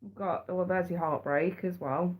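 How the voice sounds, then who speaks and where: A woman runs a casual live tarot stream, stuffy and congested from a cold. Her voice sits slightly low for a woman. She chats warmly to her viewers, repeats herself as she goes and calls them sweetheart. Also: steady tone, normal speed, slightly rough, narrow, tinny audio. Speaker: tarot reader. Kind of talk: live-stream chat and reading.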